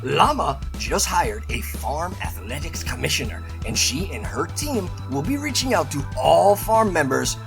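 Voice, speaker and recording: A man's voice: fairly high pitch, sing-song, a little slow, vocal fry, few pauses, over music. A man hosting a channel, reading aloud and tossing in casual asides in a playful, theatrical way. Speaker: channel host.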